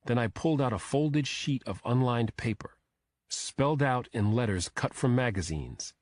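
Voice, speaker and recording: A man's voice: mid-range voice, expressive, moderate pace, no room sound. A man reading a thriller audiobook as a scripted first-person narration.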